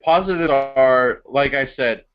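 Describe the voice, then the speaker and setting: A man's voice: mid-range voice, measured pace, clear, resonant tone, muffled recording. A young man casually reviewing films with friends in an informal, chatty way. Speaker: amateur film critic on a group review show.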